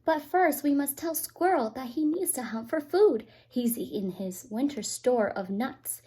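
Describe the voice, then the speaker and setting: A woman's voice: high voice, lively intonation, unhurried, mellow, breathy edge, exaggerated voice. A woman voicing a sleepy, sad male porcupine in a children's storybook, with a rueful, snuffly manner. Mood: sad, concerned.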